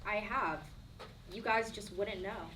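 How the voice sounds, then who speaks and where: A woman's voice: mid-range voice, takes it slowly, lightly acted. An actress playing a defiant, wounded twenty-three-year-old woman in a stage play, speaking earnestly and defensively. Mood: hurt, insistent. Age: young adult. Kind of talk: one-act play dialogue. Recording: roomy echo, distant mic, faint noise.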